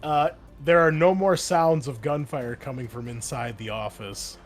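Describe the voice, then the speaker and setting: A man's voice: mid-range voice, unhurried, soft background music. A man running a tabletop roleplaying game as game master, narrating combat vividly in a loose, casual way.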